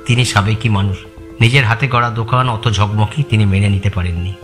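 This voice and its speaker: A man's voice: lowish voice, fairly even intonation, fairly quick, close to an everyday voice. A man acting a loyal, respectful long-time employee in an audio story, giving plain deferential explanations. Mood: explanatory. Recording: music bed behind the voice.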